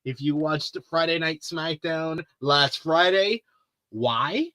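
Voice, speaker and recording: A man hosting a wrestling preview talk show, commenting with animated, theatrical emphasis and drawn-out words. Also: a little high.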